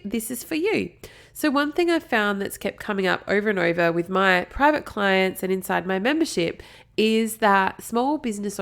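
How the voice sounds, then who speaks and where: A woman's voice: medium pitch, gravelly. Chatty Australian woman with a blocked nose. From South Australia. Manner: chatty, upbeat, prone to digressions. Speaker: podcast host. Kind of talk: solo marketing podcast talk.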